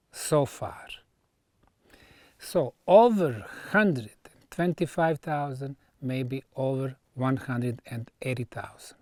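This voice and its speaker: A man who speaks English as a second language gives an educational talk, phrasing deliberately with emphatic pauses. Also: fairly high pitch, darkish tone, no room sound, studio quality. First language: not English. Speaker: researcher and presenter. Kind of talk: educational talk on archaeology, delivered on site.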